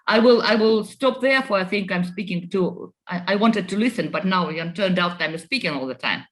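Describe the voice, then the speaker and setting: Woman politician, earnest speech, lots of uhs and restarts. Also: mid-range voice. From Estonia. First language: Russian. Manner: earnest, halting with fillers and restarts. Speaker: member of parliament. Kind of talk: conference speech.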